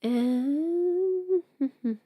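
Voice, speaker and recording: A woman's voice: high-pitched, extremely slow, dark tone, dry recording. A woman who is sick with a cold, chatting casually on a livestream in a self-deprecating, playfully sarcastic way. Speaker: audio script performer hosting a livestream. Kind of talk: casual rambling livestream chat.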